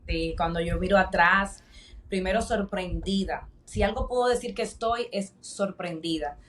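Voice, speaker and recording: A woman's voice: on the low side, normal speed, a bit bright, far from the mic. A woman with a Dominican Spanish accent telling her personal story as a podcast guest, emphatic and repeating phrases for weight. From Dominican Republic.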